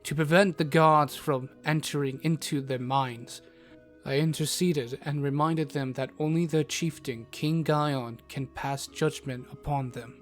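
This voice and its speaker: A man's voice: fairly high pitch, fairly even intonation, measured pace. A man narrating a scripted fantasy audio drama in the first person, with formal storybook wording. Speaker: narrator, in character as a travelling doctor. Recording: music bed under narration.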